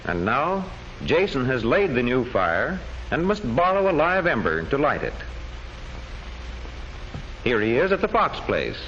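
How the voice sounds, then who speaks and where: A man narrating an old educational history film from a script, in measured, declamatory storytelling phrases. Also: medium pitch, huge pitch swings, fairly bright tone. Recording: dull old film soundtrack with faint noise.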